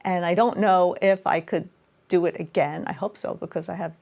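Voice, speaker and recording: A woman's voice: lowish voice, steady tone, moderate pace, gravelly, darkish tone, highs cut off. A middle-aged woman talking casually as an interview guest, chatty, with many fillers and restarts. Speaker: author and guest. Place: local cable studio.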